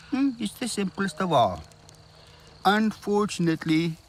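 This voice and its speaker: Wise old alien mentor, video game, gravelly, slow, deliberate, instructive. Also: male voice, a little high, a bit bright, a little hiss or hum, full character voice, poor audio. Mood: patient, instructive, then grave, coaxing. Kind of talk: video game character acting.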